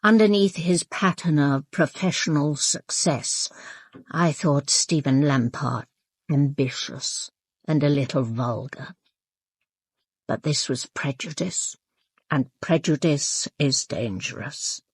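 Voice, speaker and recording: An elderly upper-class British lady, low and a little husky. She is stoic and imperious, speaking in slow, formal, clipped phrases in a radio drama, recorded close and dry. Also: dry recording, heavily acted. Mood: stoic, guarded. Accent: upper-class British English. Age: 80s. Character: proud, grieving elderly aristocratic mother, stoic and imperious. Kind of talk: radio drama with first-person narration.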